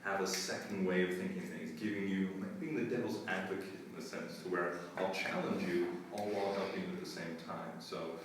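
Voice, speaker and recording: Low-voiced man, echoey and distant. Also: fairly even intonation. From United States. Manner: earnest, measured, with frequent filler pauses. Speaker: school administrator. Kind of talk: interview answers. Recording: roomy echo, distant mic, faint noise.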